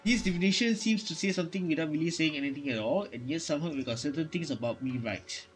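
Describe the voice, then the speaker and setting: A man reading game dialogue aloud during a playthrough, halting and stumbling over words as he goes. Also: fairly high pitch. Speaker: gaming streamer.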